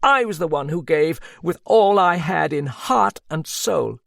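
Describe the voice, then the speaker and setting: A woman's voice: fairly low pitch, expressive, slow pace, gravelly, husky, no room sound, clean studio sound, pitched above the performer's own voice, exaggerated voice. A man voicing a proud, desperate woman who cries out with passion, bitter and near tears, in a read-aloud story. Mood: fierce.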